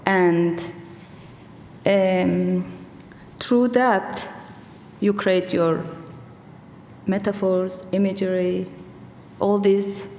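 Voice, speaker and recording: Slow, thoughtful Kurdish-born woman poet. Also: medium pitch, fairly even intonation, darkish tone. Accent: Turkish. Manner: slow, thoughtful, searching for words. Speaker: poet on a festival panel. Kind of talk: panel discussion answer. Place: large hall with audience. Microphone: table microphone. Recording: roomy hall reverb, faint room noise.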